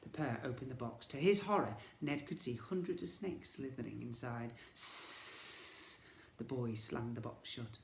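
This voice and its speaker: Very animated man reading a children's story. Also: mid-range voice, moderate pace, vocal fry, few pauses, echoey room, slight background noise.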